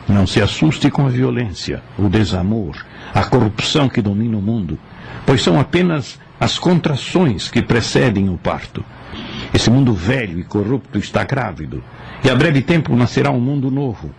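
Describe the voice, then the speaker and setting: A man's voice: medium pitch, lively intonation, moderate pace, gravelly, slight background noise. A man giving solemn, oratorical scripted narration for a radio drama.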